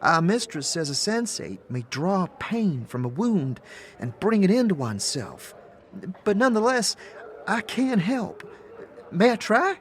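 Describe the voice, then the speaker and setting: A woman's voice: medium pitch, sing-song, measured pace. An actress playing an eager young woman student in an audio drama, stammering and apologetic.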